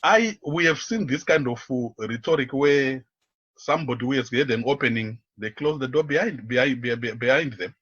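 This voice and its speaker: Male radio interview guest, activist type, husky tone, halting and searching delivery, slightly muffled audio. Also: medium pitch, fairly bright tone. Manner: halting, searching, repeats words as they go. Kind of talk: unscripted radio interview talk.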